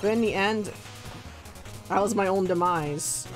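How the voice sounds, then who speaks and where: A young man chatting casually while streaming a video game, playful and laughing often. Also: a little slow. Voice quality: naturally deep for him but light, giggly. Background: faint game music.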